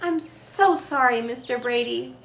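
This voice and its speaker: A woman's voice: high voice, a little slow, vocal fry, fairly bright tone, echoey room, full character voice. A woman reading the part of an eager, earnest little girl in a storybook, stretching her words. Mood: sad, apologetic.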